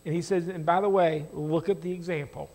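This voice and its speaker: A middle-aged American man giving a conversational sermon in an animated, emphatic way, asking rhetorical questions. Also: fairly high pitch, a little fast. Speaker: preacher. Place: church stage at a lectern, indoors.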